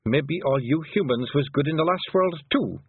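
Man doing a high, cheerful Scottish pixie chief. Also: expressive, normal speed, breathy edge, no room sound. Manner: cheerful, boisterous. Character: cheerful tiny male pixie warrior chief. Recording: tinny phone-like sound.

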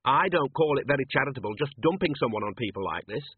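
A man's voice: mid-range voice, animated, fast, breathy edge, no room sound. A man voicing a prim, earnest young male constable. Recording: tinny lo-fi audio.

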